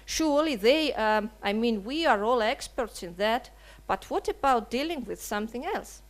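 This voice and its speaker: Woman, slightly high voice, writer reading a statement, measured and earnest, rhetorical questions. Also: animated. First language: Russian. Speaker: writer and translator. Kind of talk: reading a prepared seminar statement. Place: seminar hall.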